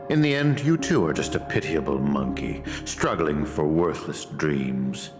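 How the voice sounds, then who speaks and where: A man's voice: very deep voice, expressive, unhurried. A man voicing a menacing, contemptuous game villain with a scornful, threatening delivery. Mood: cold contempt. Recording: echoing, music behind.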